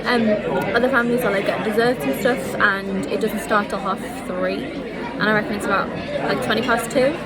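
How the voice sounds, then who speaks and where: A woman's voice: mid-range voice, normal speed, a loud crowd. A young woman from the UK chatting casually to camera in a rambling, informal way as she vlogs. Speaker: vlogger. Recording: very noisy, busy background, camera microphone.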